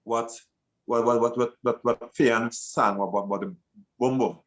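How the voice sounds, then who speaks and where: A man speaking as a panelist in a live discussion, in a halting way, searching for his words. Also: mid-range voice, steady tone, fairly bright tone.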